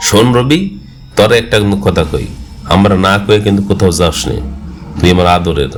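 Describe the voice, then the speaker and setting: A man's voice: low voice, expressive, moderate pace, slight background noise, voice pushed down. A man voicing a protective, scolding old village fisherman in a cartoon, with a rural Bengali dialect. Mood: cautioning. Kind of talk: cartoon dialogue.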